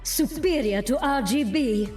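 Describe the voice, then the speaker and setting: An actress playing a cool, smug video game heroine, delivering short scripted lines. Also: female voice, high voice, measured pace, over music.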